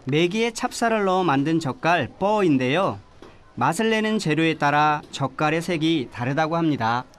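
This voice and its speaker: A man giving calm, measured scripted voice-over narration for a travel documentary. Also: on the high side, a little hiss or hum. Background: background music.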